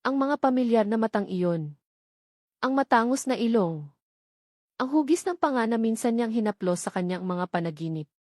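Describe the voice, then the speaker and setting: A woman's voice: mid-range voice, a little mellow, no echo, studio quality. A woman with a Filipino accent reading a scripted romance story as a dramatic audiobook narrator.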